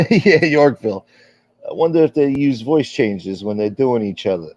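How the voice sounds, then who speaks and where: A middle-aged man hosting a casual livestream, rambling through viewer comments with plenty of fillers and blunt swearing. His voice is dark and mellow, and the dull, lo-fi audio sounds dry, with no room around it. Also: medium pitch, moderate pace, no echo. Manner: rambling, candid, profane, with frequent fillers. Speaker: livestream host.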